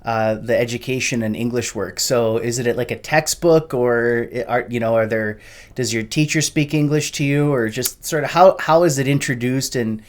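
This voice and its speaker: A man's voice: medium pitch, moderate pace. Hesitant male interviewer, full of uhs. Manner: hesitant, many uhs and restarts in questions. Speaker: podcast host, interviewer. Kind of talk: casual interview.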